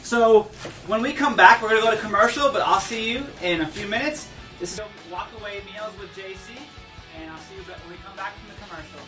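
A man's voice: sits high, fairly quick, over music. A man in his thirties hosting a comedic cooking show, upbeat and agreeable, often laughing. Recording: echoey room, distant mic.

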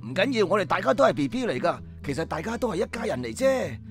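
A man's voice: sits high, very animated, quick pace, a bit bright, faint music behind. An actor playing a jovial, welcoming man who organises a prayer group, in a Hong Kong Cantonese radio drama.

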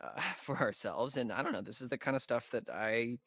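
A man's voice: mid-range voice, animated, normal speed, fairly bright tone, no room sound, clean studio sound. A young American man playing a nervous, geeky, wry camp counselor in a video game, with a hesitant, stammering way of talking. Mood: earnest, musing. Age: young adult. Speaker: video game character actor. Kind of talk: naturalistic video game dialogue.

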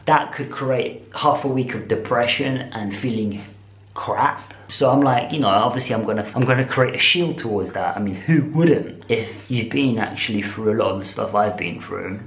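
A British man in his twenties talking casually to camera in a rambling, slangy vlog style, speaking in animated bursts. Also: lowish voice, moderate pace. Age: 20s. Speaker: vlogger. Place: small indoor room with bare walls. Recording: echoey, roomy sound.

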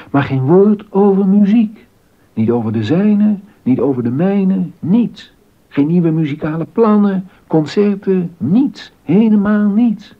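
An actor playing a troubled, disappointed court composer and husband in a scripted historical radio drama, with a husky voice. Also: male voice, fairly high pitch, narrow, tinny audio, exaggerated voice. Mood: agitated.

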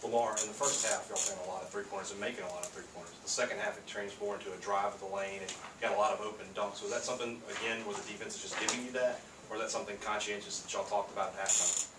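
A man's voice: lowish voice, fairly even intonation, rapid-fire. A man working as a reporter, asking a question at a press conference. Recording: echoey noisy room, far from mic.

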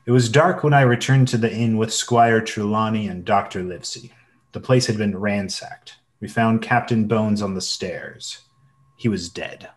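An earnest male storyteller acted by a man narrates a radio play as a memoir. His voice is fairly low and literary in delivery. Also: normal speed, natural voice. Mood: earnest, reflective. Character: grown man recalling his boyhood adventure. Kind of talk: scripted dramatic narration.

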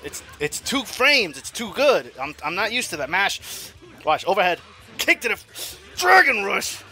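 A man in his forties commenting on his own online fighting game matches, swinging from low mutters to loud yells, laughing and cursing at himself and his opponent. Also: big pitch swings, measured pace. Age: 40s. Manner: profane, self-mocking trash talk. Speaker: gaming YouTuber. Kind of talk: live gameplay commentary.

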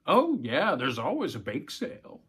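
A man's voice: mid-range voice, wildly swooping pitch, normal speed, fairly bright tone, husky, voice pushed up, full character voice. A man voicing a self-doubting father in a story, reassuring but uneasy, in an everyday voice. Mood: coaxing. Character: Randall, a self-doubting young father, gentle with daughter.

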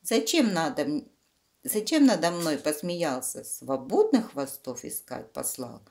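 A woman's voice: sits low, sing-song, a little slow, gravelly, darkish tone, full character voice. A woman voicing a pestering, demanding little housefly in a children's fairy tale, with insistent, whining demands. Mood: indignant.

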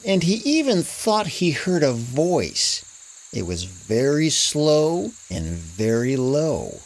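A woman narrating a children's story in an expressive, conversational, casual way. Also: a bass voice, slow, slight background noise.

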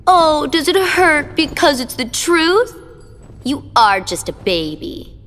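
A woman's voice: sits high, sing-song, takes it slowly, fairly bright tone, big-room sound, full character voice. An actress voicing a spiteful young female villain who mocks and taunts. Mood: taunting. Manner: taunting, mocking, sarcastic.

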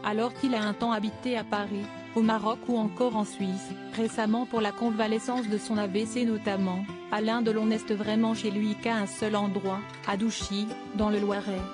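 Flat-toned female news narrator over music. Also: medium pitch, normal speed, hardly any pauses. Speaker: narrator of a celebrity news video. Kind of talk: scripted narration. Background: background music.

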